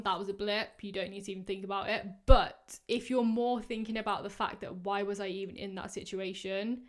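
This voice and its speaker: A woman in her twenties hosting a podcast, giving casual, rambling advice full of fillers, her voice going hoarse. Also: mid-range voice. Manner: chatty, rambling, many fillers. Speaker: podcast host. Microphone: podcast microphone.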